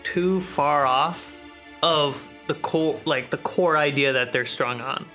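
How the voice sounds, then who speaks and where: Male dungeon master, highish voice, casually narrating the game, music clearly playing behind. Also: slow, bright, forceful tone.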